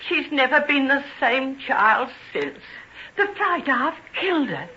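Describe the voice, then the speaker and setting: A muffled old radio recording of a humble, distressed mother. Her voice is bright and swoops about, deferential and pleading in a plain working-class manner. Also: high-pitched, big pitch swings, measured pace, full character voice. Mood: pleading, upset. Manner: deferential, tearful, folksy wording. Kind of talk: old-time radio drama acting. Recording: dull vintage radio recording.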